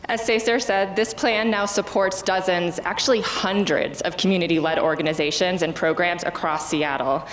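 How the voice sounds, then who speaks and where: A woman with a slightly low voice gives a prepared civic presentation in a steady, scripted way. Her voice rings through a large hall with long reverb. Faint noise sits underneath. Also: moderate pace, few pauses. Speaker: city food policy staff presenter.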